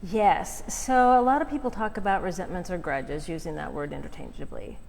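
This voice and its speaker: A woman's voice: fairly low pitch, fairly quick, a little mellow. A woman speaking as a guest coach, explaining conversationally and often checking in with the listener. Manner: outgoing, often checks in with "right?". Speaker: forgiveness coach, interview guest. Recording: echoey hall with clear background noise.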